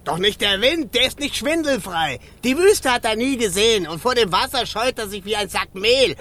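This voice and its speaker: An actress in a children's radio play voicing a spiteful water spirit, gleefully scornful, with sneering, drawn-out, sing-song mockery. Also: female voice, a little high, animated, a bit bright.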